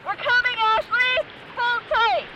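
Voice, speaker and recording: A woman's voice: very high voice, steady tone, slow pace, heavy background noise. An actress playing a fiery, desperate young woman on a rescue in an audio drama, urgent in manner. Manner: urgent, foul-mouthed.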